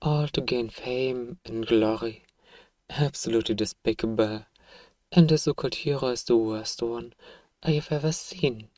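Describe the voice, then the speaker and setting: A man playing a self-righteous evil emperor, softly and coaxingly telling a bedtime story to a child in an ASMR roleplay. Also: medium pitch, normal speed, no echo, lightly acted. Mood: smug soothing.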